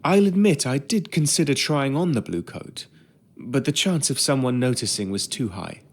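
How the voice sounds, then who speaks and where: An actor playing a polite, reflective ship's steward narrating in a period drama game. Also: male voice, medium pitch, expressive, moderate pace, a little hiss or hum, natural voice. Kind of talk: scripted first-person period narration.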